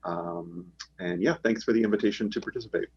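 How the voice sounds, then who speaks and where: Low-voiced man on a dull call. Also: brisk. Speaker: professor, panelist. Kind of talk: panel introduction. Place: office over video call. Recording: dull lo-fi with faint hum.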